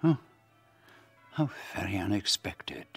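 An actor playing a precise, fussy older detective, narrating and speaking in a measured, formal way. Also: male voice, sits low, big pitch swings, slightly rough, soft background music, heavily acted. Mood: wry. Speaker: narrator and lead character. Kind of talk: radio drama narration.

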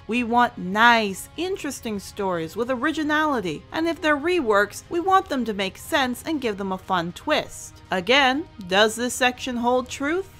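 A woman's voice: a little high, sharp tone. A woman hosting a review in semi-scripted commentary, speaking in an enthusiastic, emphatic way. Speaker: host and reviewer.

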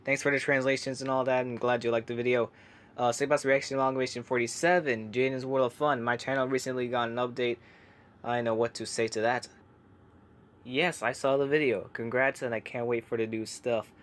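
Young male creator, casual comment-reading vlog, hushed delivery, chatty and halting. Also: mid-range voice, little pitch movement. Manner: chatty, hesitant, many fillers and restarts; kept quiet so family can sleep. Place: home laundry room.

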